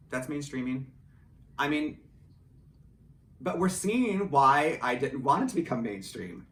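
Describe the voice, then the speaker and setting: A man's voice: medium pitch, a bit bright. American guy chatting candidly in an interview, opinionated, bit far from the mic, echoey room. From rural Michigan, US. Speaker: audio drama writer, producer and drag performer. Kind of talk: casual interview talk. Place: indoor home studio. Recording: roomy, distant mic, faint background noise.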